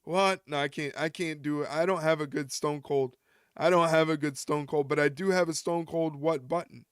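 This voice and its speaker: A man's voice: on the high side, fairly even intonation, moderate pace, clean tone, no room sound, studio quality. A man hosting a wrestling live stream, rambling and joking with his chat and often chuckling. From United States.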